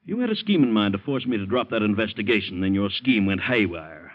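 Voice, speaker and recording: An upright, middle-aged American prosecutor in a vintage radio crime play. He is firm and unbending, firing off clipped words at a quick pace. The sound is dull, old broadcast quality. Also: fairly low pitch, animated. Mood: challenging. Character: stern, incorruptible district attorney and father. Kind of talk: old-time radio crime drama acting.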